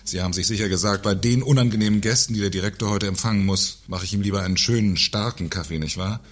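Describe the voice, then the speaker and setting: A deep-voiced, pompous director who must control everything, acted by a man in a satirical radio play. His voice is rough and husky, and he sounds self-important and irritable. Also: fast, natural voice. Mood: condescending. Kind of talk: German radio play acting.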